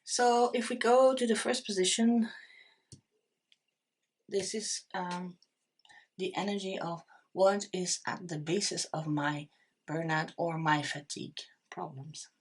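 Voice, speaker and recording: A middle-aged woman with a Dutch accent reads tarot cards to camera. Her voice is husky and sits a little low, and she speaks very slowly. She hesitates often, restarting words and filling pauses with "um". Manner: slow, hesitant, with many fillers and restarts. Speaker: tarot reader. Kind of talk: unscripted tarot reading to camera.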